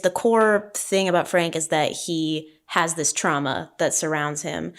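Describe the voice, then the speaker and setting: Low-voiced woman, thoughtful discussion. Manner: thoughtful, explanatory, informal. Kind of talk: casual analytical discussion of a script.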